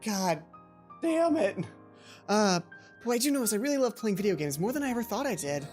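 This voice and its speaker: A woman's voice: medium pitch, sing-song, a little fast, darkish tone. A woman hosting a let's play video in a casual, rambling, self-deprecating way, given to exclamations. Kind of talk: casual game commentary with read-aloud dialogue. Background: game music.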